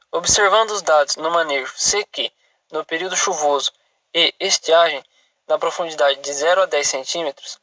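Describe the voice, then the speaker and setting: A Brazilian man reads a formal academic text aloud in a bright, slightly high voice. His delivery is recited, like a student presenting, with the odd stumble. The sound is dry and close, with little room in it. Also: no room sound.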